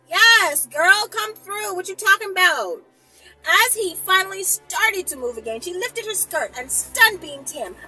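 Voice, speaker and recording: An American woman reading a story aloud as a channel host, expressive and sassy, dropping in casual asides. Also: very high-pitched, rough recording.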